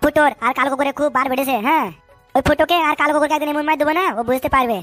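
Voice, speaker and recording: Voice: high voice, little pitch movement, racing, a bit bright, soft background music. An actor voicing a bullying, suspicious cartoon political boss, scolding and cursing in street slang. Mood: scornful. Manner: scolding, hectoring, repeats tag questions, curses constantly.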